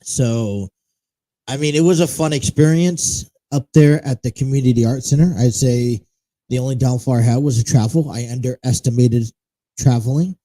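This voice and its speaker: A man's voice: mid-range voice, normal speed, warm tone, rough recording. A man hosting a casual solo talk show, chatting in a rambling, self-correcting way. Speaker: host. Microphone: studio mic with monocaster setup.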